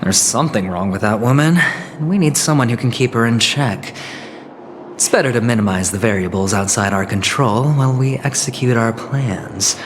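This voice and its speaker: A man voicing a smooth, scheming young male gambler in a game, playful and calculating. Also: medium pitch, moderate pace, a little hiss or hum, natural voice. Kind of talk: game voice acting. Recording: game audio with music behind.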